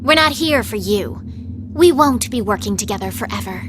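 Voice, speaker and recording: A woman's voice: medium pitch, lively intonation, normal speed, fairly bright tone, a little hiss or hum, lightly acted. An actress playing a cool, aloof female villain in a video game. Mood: aloof warning.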